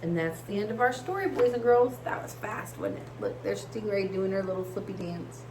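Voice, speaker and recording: Expressive woman reading in an echoey room. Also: mid-range voice, moderate pace. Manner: expressive, encouraging. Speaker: story reader, teacher. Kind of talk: read-aloud storytelling for children. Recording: echoey room, faint background hiss.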